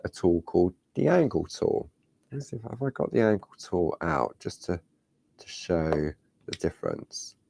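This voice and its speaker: A man with a slightly croaky voice, demonstrating a parchment craft step by step and chatting to himself as he works. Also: low voice, a little mellow, no echo. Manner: chatty, talks to himself while working. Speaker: craft tutorial host.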